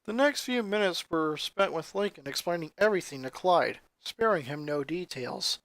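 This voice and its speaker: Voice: mid-range voice, animated, moderate pace, fairly bright tone, no echo. A narrator reading fan fiction aloud as scripted story narration. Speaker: story reader.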